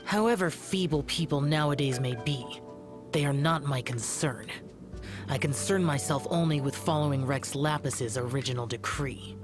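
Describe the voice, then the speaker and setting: A woman's voice: rumbling low, normal speed. A woman voicing a stern, aloof young male immortal demon slayer in a video game, formal and solemn. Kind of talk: dramatic video game voice acting. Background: game music.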